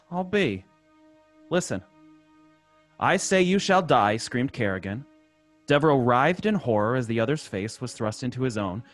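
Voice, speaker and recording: A man's voice: medium pitch, animated, measured pace, long pauses, dry recording, soft background music, close to an everyday voice. A man playing an easygoing, skeptical sidekick with 1930s slang in an old time radio drama. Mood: baffled. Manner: slangy, incredulous.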